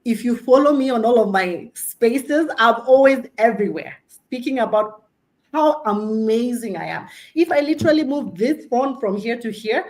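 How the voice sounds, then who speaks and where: A woman's voice: fairly high pitch, normal speed, poor audio. A woman from Kenya with a Kenyan accent, a career coach speaking emphatically on a panel. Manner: emphatic, animated, preaching self-promotion with humor.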